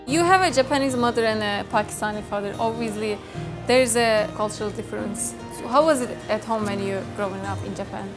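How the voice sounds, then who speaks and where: A woman interviewer asks short, direct questions in an ordinary mid-range voice. Music plays clearly behind her. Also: mid-range voice, fairly quick.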